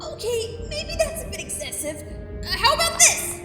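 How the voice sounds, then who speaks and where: A woman's voice: very high voice, big pitch swings, moderate pace. A woman voicing a tiny, plucky, defiant pony heroine in a fantasy audio drama. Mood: startled, flustered. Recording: distant, echoey reverb over loud music.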